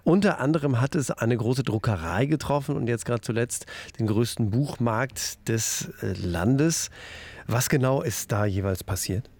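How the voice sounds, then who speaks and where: Male studio radio host, measured delivery, gravelly texture, close dry sound. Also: mid-range voice, no echo. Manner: calm, measured questioning. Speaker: radio culture show host. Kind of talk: radio interview moderation.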